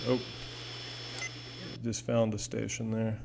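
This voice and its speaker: A man's voice: lowish voice, completely flat delivery, slow, creaky. A man chatting casually and enthusiastically in a rambling, folksy way, like a hobbyist showing off gear at home. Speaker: hobbyist video host. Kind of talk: casual product demo and chat. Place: indoors in an apartment. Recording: noisy home recording with audible hum.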